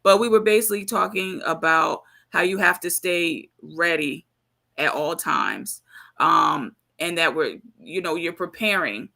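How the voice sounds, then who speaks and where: A woman co-hosting a podcast, chatting casually and pausing to search for her words. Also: fairly low pitch, creaky. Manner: relaxed, searching for words with many fillers. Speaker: podcast co-host.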